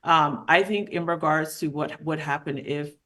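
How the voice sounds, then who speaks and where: A woman raised in the Southern US sharing reflections in a class discussion over a video call, halting, with many "um"s. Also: sits low, fairly even intonation, fairly bright tone. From Southern United States. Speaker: class facilitator.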